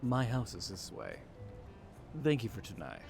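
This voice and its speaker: A man voicing a stoic teenage boy who speaks tersely and deadpan, in a fan-dubbed radio drama. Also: on the low side, a little slow, gravelly, heavily acted. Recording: soft music behind.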